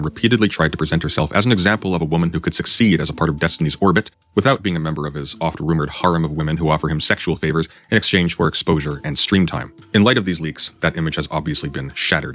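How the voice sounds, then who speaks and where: Very deep, fast-talking male narrator. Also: a bit bright. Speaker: commentary video narrator. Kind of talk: scripted narration, video essay.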